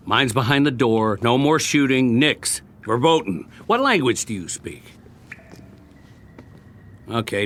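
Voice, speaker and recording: A man's voice: medium pitch, a bit bright, a little hiss or hum, close to an everyday voice. An actor playing a weary, talkative soldier in a radio drama, wry and pleading as he tries to make peace. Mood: exasperated, coaxing. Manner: chatty, wry, pleading, sprinkles foreign words. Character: weary, talkative young-ish soldier making peace after war.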